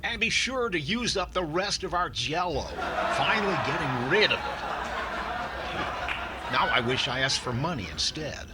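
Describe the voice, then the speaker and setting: A man playing a vain, stingy, fussy middle-aged comedian and boss in a 1940s-style radio comedy, with drawn-out exclamations. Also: a little high, animated, heavy background noise, lightly acted. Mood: bossy, stingy.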